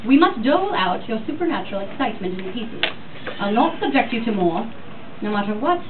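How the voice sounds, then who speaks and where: A woman voicing a stern, protective Victorian husband from a gothic novel, speaking in a firm, formal, measured way. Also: a little high, sing-song, narrow, tinny audio. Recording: distant mic, thin sound, noisy room.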